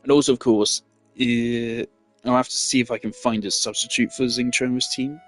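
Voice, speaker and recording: A man's voice: medium pitch, fairly even intonation, moderate pace, fairly bright tone, soft background music. A man giving casual gameplay commentary, thinking aloud and rambling with restarts. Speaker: gameplay streamer.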